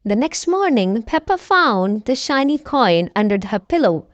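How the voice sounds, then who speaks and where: A woman's voice: on the high side, very animated, measured pace, no echo, muffled recording. A woman reading a children's bedtime story aloud as a storyteller.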